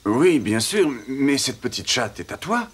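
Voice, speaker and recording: A man voicing a determined, gentle explorer and father in a cartoon, reassuring in tone. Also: medium pitch, sing-song, measured pace, breathy edge. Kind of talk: French cartoon voice acting.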